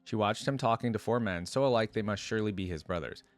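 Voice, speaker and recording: A man's voice: on the low side, fast talker. A man hosting a reaction video, reading a story aloud and commenting in an informal, slangy way.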